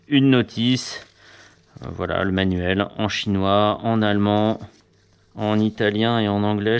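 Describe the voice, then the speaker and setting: A man's voice: on the low side, clear, resonant tone. A man giving a casual, chatty hands-on product review in an informal way.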